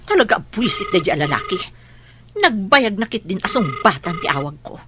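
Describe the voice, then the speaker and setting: A woman's voice: medium pitch, sing-song, fairly quick, noisy background, natural voice. A woman playing an embittered, quarrelsome mother in a radio drama, with a scolding, indignant manner. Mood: furious scolding. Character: embittered, quarrelsome mother of two, separated from husband. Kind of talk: Ilocano radio drama acting.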